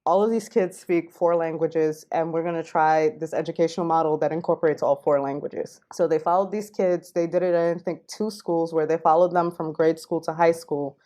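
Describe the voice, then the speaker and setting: A woman from Queens, New York, talking as a podcast guest and linguistic anthropologist, explaining at length in a fluent, relaxed way with many fillers. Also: low-pitched, brisk, few pauses. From Queens, New York, United States. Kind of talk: casual interview.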